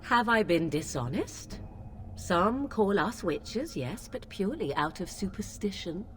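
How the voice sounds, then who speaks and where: Casting: aloof young witch, haughty, old-fashioned phrasing, animated melody, fantasy game. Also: medium pitch, measured pace, a little hiss or hum. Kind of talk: fantasy video game acting.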